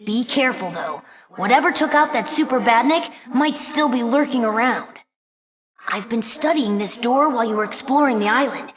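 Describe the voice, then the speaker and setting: A woman's voice: on the high side, animated, moderate pace, a crowd in the background, lightly acted. A woman voicing an earnest, brainy young fox boy sidekick in a video game, with a youthful, articulate way of talking. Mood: focused, curious. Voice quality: youthful, boyish. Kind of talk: video game character voice lines.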